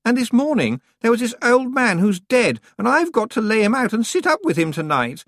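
A reader voicing a frantic, bossy teenage girl witch in an audiobook, shrill and whiny, stammering when upset. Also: very animated, normal speed, fairly bright tone, dry recording, studio quality. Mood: complaining.

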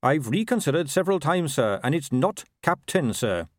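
An upright young police captain from an audiobook, played by a male narrator, speaks with brisk, formal courtesy. His voice sits fairly high and moves in lively swings, very earnest and dutiful. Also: dry recording, clean studio sound. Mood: earnest, resolute.

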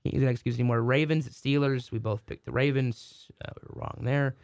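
A hoarse man co-hosting a sports podcast, talking casually and restarting his sentences often. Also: medium pitch, steady tone, fairly quick, darkish tone, dry recording, clean studio sound. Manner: rambling, many restarts. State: hoarse from a cold. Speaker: podcast co-host.

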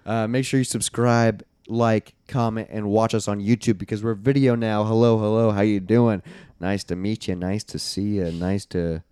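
A man's voice: fairly low pitch, fairly even intonation, moderate pace, hardly any pauses, dark tone, smooth, dry recording. A young man co-hosting a podcast in casual chat, voice scratchy and close to giving out, rambling and swearing a lot. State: scratchy, near losing his voice. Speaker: podcast co-host.

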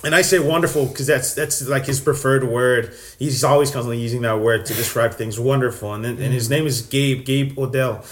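A man's voice: medium pitch, steady tone, a little fast, few pauses. A young man from Texas sharing his faith story in casual, earnest talk, full of fillers and restarts. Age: young adult.

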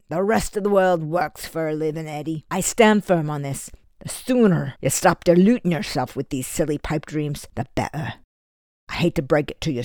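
A man's voice: on the high side, a little mellow, no room sound, studio quality, close to an everyday voice. A man doing a stern Scottish foster father. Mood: scolding. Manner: lecturing, gruff, scolding.

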